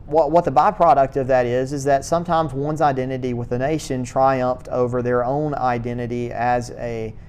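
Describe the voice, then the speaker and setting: A man giving a church history lecture to a class, speaking in a halting way, restarting words and filling pauses with uh. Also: medium pitch, fairly even intonation, a little mellow, slight background noise. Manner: halting, repeats words, many uh fillers.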